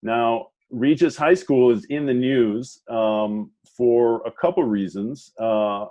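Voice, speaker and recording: A man's voice: medium pitch, dark tone. Guy moderating a zoom chat, lots of ums and false starts, muffled call audio. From Washington, D.C. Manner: halting, many fillers and restarts. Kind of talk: hesitant conversational introduction.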